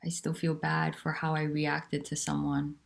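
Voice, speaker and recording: A woman teaching about nonduality, talking in a soft, unhurried and candid way with pauses. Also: low voice, monotone, gravelly. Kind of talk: reflective spiritual talk.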